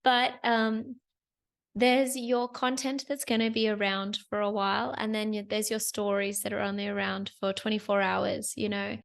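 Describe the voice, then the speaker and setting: A woman's voice: mid-range voice, little pitch movement, moderate pace, gravelly. A young woman who has lost her voice, hosting a chatty solo business talk to the camera with repeated phrases. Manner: chatty, repeats phrases, lots of "you guys". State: hoarse, lost voice. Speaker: host.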